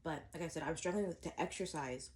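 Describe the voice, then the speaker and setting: A woman's voice: low-pitched, fast, darkish tone, a little hiss or hum. A woman in her twenties chatting casually to camera while eating breakfast at home, rambling and self-deprecating with lots of fillers and restarts. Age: 20s. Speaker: vlogger. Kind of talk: casual eat-with-me chat to camera.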